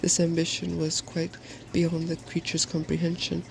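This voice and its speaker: Soft-spoken, very creaky man reading fairy tales. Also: fairly high pitch, completely flat delivery, normal speed, slight background noise. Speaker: storybook reader.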